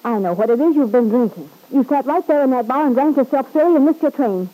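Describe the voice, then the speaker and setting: A haughty, indignant wife. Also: female voice, high-pitched, animated, quick pace, warm tone, rough recording. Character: proud, irritated wife named Kit. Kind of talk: 1940s radio drama acting.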